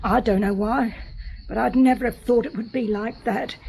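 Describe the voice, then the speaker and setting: A woman's voice: fairly high pitch, fairly even intonation, normal speed, gravelly, a little mellow, slight background noise. An actress playing a frank, plain-spoken young woman in a radio drama.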